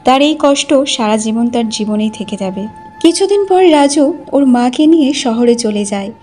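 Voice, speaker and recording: Lady narrating a cartoon story, highish mellow voice, scripted read, light music under. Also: expressive, moderate pace.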